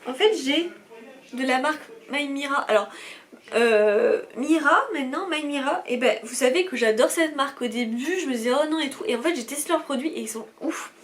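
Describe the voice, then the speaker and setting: A woman's voice: sits high, normal speed. A woman, chatting casually and enthusiastically to viewers as a beauty vlogger. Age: 20s. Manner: bubbly, enthusiastic. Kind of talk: casual unboxing chat to viewers.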